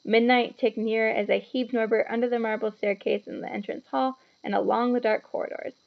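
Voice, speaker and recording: Female storyteller, animated and explanatory, teacher-like, with noticeable creak and dull recording. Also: on the high side, fairly quick. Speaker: teacher reading a children's novel aloud. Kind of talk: expressive read-aloud with chatty asides to children.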